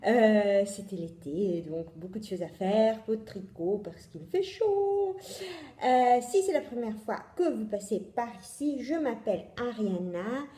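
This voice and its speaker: A woman with an Italian accent hosts a homemade knitting podcast, speaking slowly with a warm, mellow voice. She hesitates a lot, filling gaps and restarting her sentences. Her voice rings in a roomy, echoey space. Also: fairly high pitch, animated. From Italy. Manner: hesitant, many fillers and restarts. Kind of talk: casual video podcast chat. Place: home in Italy.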